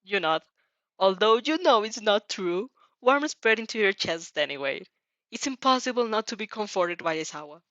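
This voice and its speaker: A woman with a mid-pitched voice reads a story aloud in an expressive, informal way. She stumbles over sentences and breaks off to comment as herself. The sound is dry and a little dull. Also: normal speed, dry recording. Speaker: fan fiction reader reacting as she reads. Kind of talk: animated story read-aloud with casual reactions. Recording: slightly dull, dry recording.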